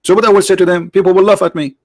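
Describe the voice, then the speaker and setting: A man hosting a debate, arguing forcefully and repeating his points in broken English. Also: high voice, flat delivery, rapid-fire, dry recording, studio quality. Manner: forceful, repetitive, nonnative English grammar. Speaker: debate host. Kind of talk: argumentative debate on a live call.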